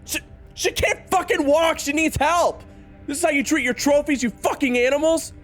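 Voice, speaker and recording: A man's voice: extremely high pitch, normal speed, fairly bright tone. A man playing a loyal, hot-headed swordsman who narrates a fantasy story in the first person, with dramatic emotion. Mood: distraught, outraged. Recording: echoey, reverberant, music underneath.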